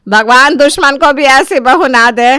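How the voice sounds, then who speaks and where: A woman's voice: sits high, a little slow, bright, forceful tone, clean tone, no echo, clean studio sound. A woman playing a bossy, nagging older mother-in-law who scolds and demands in a dramatized moral story. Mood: irritated. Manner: scolding, demanding, rustic turns of phrase.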